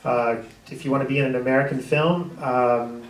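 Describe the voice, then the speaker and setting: A man, a film director, telling behind-the-scenes stories on a stage with a handheld mic, rambling and animated. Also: medium pitch, moderate pace, far from the mic. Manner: rambling, animated, gestures a lot. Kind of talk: storytelling in a panel talk. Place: hall with audience. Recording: echoey hall taped from afar.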